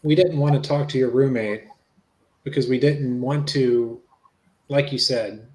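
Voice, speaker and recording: A man reading the part of a polite, low-key federal agent in a dramatic reading of a witness interview. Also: measured pace, darkish tone, close to an everyday voice, low-quality recording. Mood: matter-of-fact. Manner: low-key, matter-of-fact.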